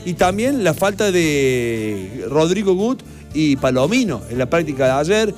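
A man reporting football news, then chatting as co-host, brisk and informative. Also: fairly high pitch, sing-song, a little mellow, faint music behind. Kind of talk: sports news report and studio chat.

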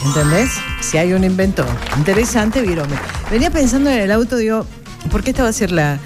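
A woman's voice: medium pitch, animated, a little fast. A woman hosting a casual radio chat, chatty and often asking for agreement. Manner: chatty, leading, asks for agreement. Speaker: radio host. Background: music.

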